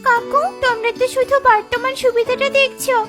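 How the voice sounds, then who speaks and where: A woman's voice: very high voice, level tone, a little fast, a bit bright, faint music behind. A woman voicing a wise, caring young sister bird in a children's cartoon, speaking earnestly.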